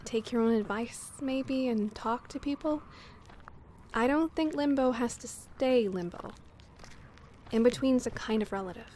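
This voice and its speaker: Woman acting a young female survivor, slightly high voice, casual, questioning, restless, audio drama, faint noise behind. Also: measured pace, dark tone, lightly acted. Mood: questioning, earnest. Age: 20s. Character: restless 25-year-old woman survivor feeling adrift.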